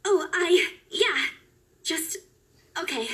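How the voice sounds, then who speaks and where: Man doing a squeaky flustered young woman. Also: animated, slow pace, pushed and bright, full character voice. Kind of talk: reading game dialogue aloud.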